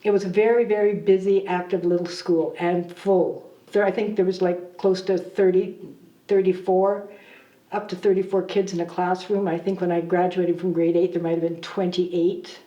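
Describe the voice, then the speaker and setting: A woman's voice: fairly low pitch, flat delivery, moderate pace, dark tone. A woman in her seventies chatting fondly about growing up in a small town, full of asides. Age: 70s. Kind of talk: documentary interview. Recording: dull, roomy sound from a distant mic.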